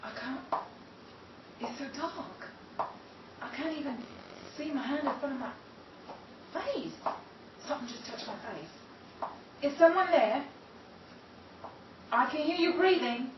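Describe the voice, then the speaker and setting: A woman playing a jumpy, fearful woman searching a dark house in a melodramatic suspense audio drama. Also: sits high, expressive, slow pace, long pauses, highs cut off. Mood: terrified. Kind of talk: melodramatic suspense radio-play acting. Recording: echoey, distant, noisy room ambience.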